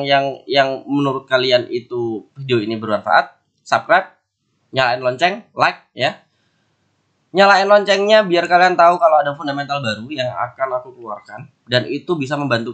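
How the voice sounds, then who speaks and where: A man's voice: medium pitch, a bit bright. A man with a stuffy, nasal voice from a cold, chatting casually as a forex analysis host and talking straight to his viewers. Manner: chatty, repeats words, friendly asides to viewers. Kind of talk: casual market commentary to camera.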